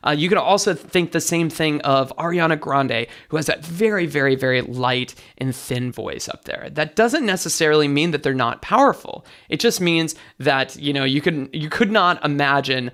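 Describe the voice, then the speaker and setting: Bright-voiced man teaching singing. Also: a little high, animated, normal speed, few pauses. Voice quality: light, bright tenor voice. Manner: enthusiastic teaching, sings short demos. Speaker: vocal coach guest. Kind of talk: explaining singing technique.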